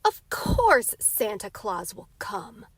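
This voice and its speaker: A woman's voice: medium pitch, wildly swooping pitch, slow pace, husky, dry recording, full character voice. A woman voicing a confident, eager ten-year-old boy in an emphatic, earnest storybook read-aloud. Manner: emphatic, childishly earnest and boastful.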